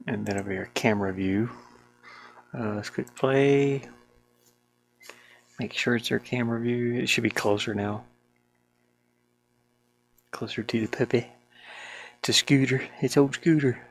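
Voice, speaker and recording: A solo game developer thinking aloud in a casual, unscripted way, with a creaky voice and colloquial, mildly grumbling speech. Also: medium pitch, measured pace, pauses often. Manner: muttering to self, grumbling mildly, colloquial 'ain't' and double negatives. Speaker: solo game developer narrating their work. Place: small warm room with a fan, indoors.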